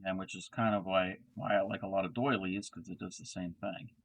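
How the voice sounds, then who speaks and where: A man with a Southern American accent hosting a relaxed crochet livestream chat, his words often trailing off into murmurs while he works. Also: low-pitched, fairly quick. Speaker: host.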